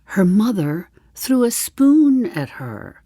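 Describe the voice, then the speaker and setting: An older actress playing a wry, chatty seventy-five-year-old woman in a darkly comic stage monologue, musing to the listener with deliberate pauses. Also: mid-range voice, sing-song, mellow, no room sound, studio quality. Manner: deliberate, dramatic pauses, dropping to confiding asides. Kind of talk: theatrical monologue, storytelling to the listener.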